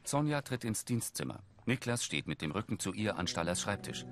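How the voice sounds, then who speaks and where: A man's voice: fairly low pitch, very animated, fairly quick, background noise. A man reading scripted audio description of a film in a factual manner. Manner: factual, present-tense delivery. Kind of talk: scripted scene narration.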